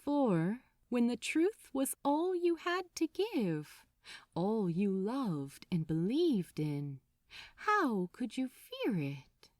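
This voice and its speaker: Woman, dramatic story narration, expressive, unhurried pace, close dry sound. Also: fairly high pitch, no room sound. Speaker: audiobook narrator.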